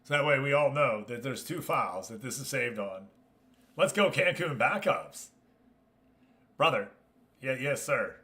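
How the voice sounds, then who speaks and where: A man with a sharp, bright voice at an average male pitch hosts a game livestream. He comments on play in a casual, informal way and talks directly to the people watching. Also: expressive, measured pace, long pauses. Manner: informal, chatting to viewers. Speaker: livestream host.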